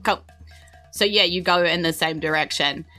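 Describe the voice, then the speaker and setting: Bright-voiced New Zealand woman running a game. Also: on the low side, moderate pace, soft background music. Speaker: game master. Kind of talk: improvised tabletop game narration.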